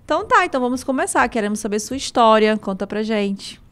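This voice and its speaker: A woman's voice: a little high, lively intonation, fast talker, clear, resonant tone. A woman host with a Northeastern Brazilian accent, chatting in an upbeat, talkative way as she runs a casual interview. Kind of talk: casual talk-show interview.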